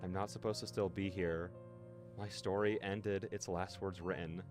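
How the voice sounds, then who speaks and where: A man's voice: low voice, fairly even intonation, moderate pace. A man streaming a story game, reading narration aloud with feeling and reacting casually, with music behind him.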